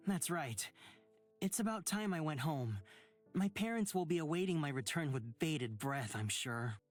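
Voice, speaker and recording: Game role: sardonic young rich guy, lowish, very animated, over music. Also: measured pace, pauses often. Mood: wry, breezy. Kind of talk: visual novel voice acting. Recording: music under the voice.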